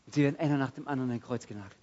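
Husky German preacher with sudden loud bursts. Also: male voice, mid-range voice, very fast, dull audio. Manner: dramatic swings from loud to hushed, acts out prayers. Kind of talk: sermon.

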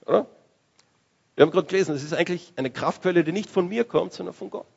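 A man's voice: high voice, fast, gravelly, a little mellow, muffled recording, slight background noise. A man preaching a sermon in a conversational way, often restarting and searching for words.

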